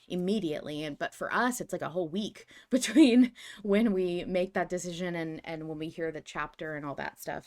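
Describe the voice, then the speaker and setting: A woman with a slightly low voice, co-hosting a casual fan podcast streamed live. She talks loosely and a little self-deprecatingly, full of "like" and "um," stumbling and restarting her sentences, and she sometimes reads notes aloud. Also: clear, resonant tone. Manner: chatty, self-deprecating, many fillers and restarts. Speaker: podcast co-host. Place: home setup on a live stream.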